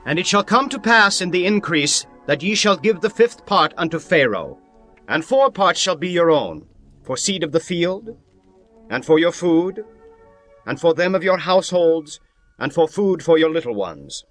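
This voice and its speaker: A man's voice: a little high, animated, normal speed, fairly bright tone. A man voicing a powerful governor who speaks firmly and instructively. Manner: firm, instructive. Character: powerful governor, authoritative younger brother. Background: faint music.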